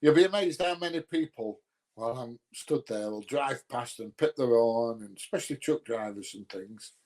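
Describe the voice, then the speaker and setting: Bloke from nottinghamshire, sounds a bit yorkshire, just chatting on a livestream, blunt and dryly grumbly. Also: male voice, mid-range voice, normal speed, darkish tone. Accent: Nottinghamshire, Yorkshire-sounding English. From Nottinghamshire, England. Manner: blunt, colloquial, grumbling dry humour. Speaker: livestream host. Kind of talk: casual chat answering live viewer comments.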